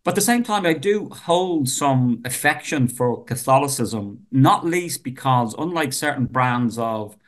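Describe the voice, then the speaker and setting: A man's voice: mid-range voice, lively intonation, unhurried, few pauses. A man in his fifties with a Dublin Irish accent, a professor talking earnestly as a podcast guest and often repeating words as he starts a sentence. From Ireland. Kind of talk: podcast interview conversation.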